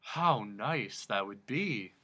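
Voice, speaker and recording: A man voicing a blustering, gloomy middle-aged king who booms out commands. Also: lively intonation, slow pace, pushed and bright, exaggerated voice. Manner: booming, commanding.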